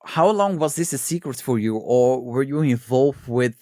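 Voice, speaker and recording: A man's voice: mid-range voice, lively intonation, moderate pace, mellow, no room sound, studio quality. A man hosting a podcast, chatting casually and appreciatively with his guest. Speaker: podcast host. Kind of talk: casual interview chat.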